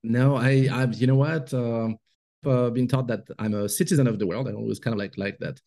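A man's voice: mid-range voice, fairly even intonation, brisk, vocal fry, warm tone. A man with a French accent, chatting casually as a podcast guest, hesitant with frequent fillers and laughs. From France. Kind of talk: casual interview chat.

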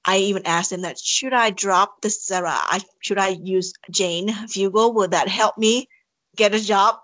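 A woman's voice: mid-range voice, fairly even intonation, unhurried, a bit bright, no room sound. A woman from Thailand, speaking English with a Thai accent, answering interview questions in a hesitant way with many fillers and restarts. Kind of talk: casual interview conversation.

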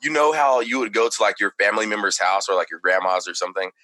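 Brief: young man from Texas, low bright voice, dry close sound, chatty and loose. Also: fairly even intonation, fast, dry recording. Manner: chatty, lots of "like" and "you know". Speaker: podcast guest. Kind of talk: casual conversation.

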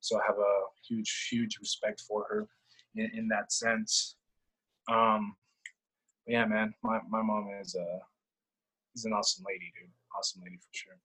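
A man's voice: lowish voice, animated, moderate pace, pushed and bright, husky, poor audio. A young man from El Salvador talking casually and personally in conversation, searching for words and repeating himself as he goes. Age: young adult.

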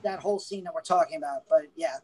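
A man's voice: fairly high pitch, fast talker, a little mellow, husky. A man co-hosting a casual movie review podcast, talking in a rambling way with lots of restarts. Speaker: podcast co-host.

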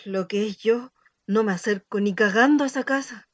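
A woman's voice: mid-range voice, normal speed, dry recording, natural voice. A woman playing a frank, earthy neighbour in a radio drama, with a Chilean accent and blunt, colloquial talk. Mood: gossiping.

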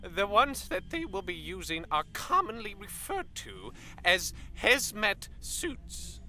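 A man's voice: sits high, sing-song, slow, sharp tone, exaggerated voice. An actor playing a condescending male professor giving a pompous explanation in a radio drama, over a noisy recording. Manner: pompous, sneering at colleagues. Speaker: scientist interviewed on air.

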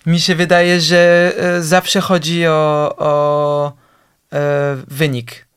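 A man's voice: a little high, completely flat delivery, drawn-out words, a bit bright, clean tone. A young man, a musician, talking in an interview, hesitant with many fillers and restarts. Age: young adult. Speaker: guest, musician. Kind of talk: casual interview talk.

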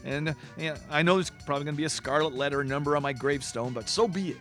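An actor as an irreverent male host of a comedy radio show. His voice sits high for a man. He rambles haltingly and sounds defensive and exasperated, with faint music around him. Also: fairly bright tone, lightly acted. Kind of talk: comic radio banter.

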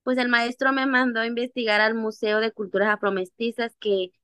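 Higher-pitched woman with a Costa Chica accent. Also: fairly quick, clear, resonant tone, dry recording, studio quality. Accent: Costa Chica Mexican Spanish. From Costa Chica, Mexico. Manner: animated, acts out remembered dialogue. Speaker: interview guest, activist. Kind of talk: personal storytelling in conversation.

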